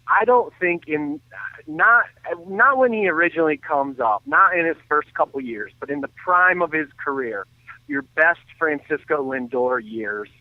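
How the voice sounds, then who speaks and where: Man, phone-like audio, no room echo, earnest sports commentary, detailed, halting, frequent restarts. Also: on the high side. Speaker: baseball analyst and guest on a sports radio show. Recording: thin phone-like line.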